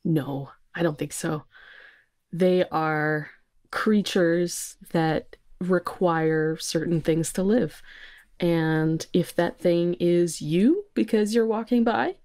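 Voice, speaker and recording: A woman's voice: fairly low pitch, takes it slowly, a little mellow. A woman speaking as a podcast guest, explaining things in casual, plain conversational talk. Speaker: podcast guest, insect research technician.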